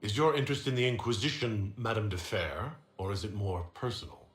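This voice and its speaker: An actor playing a composed, direct fantasy hero in a video game, speaking politely and formally, with a probing manner. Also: male voice, lowish voice, expressive, a little fast, close to an everyday voice. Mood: polite, curious. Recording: reverberant room with faint music underneath.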